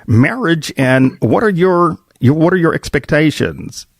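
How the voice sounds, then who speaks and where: Middle-aged male host and pastor for roundtable interview; deliberate, halting delivery, close dry recording. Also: fairly high pitch, lively intonation, no room sound. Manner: deliberate, halting, repeats words.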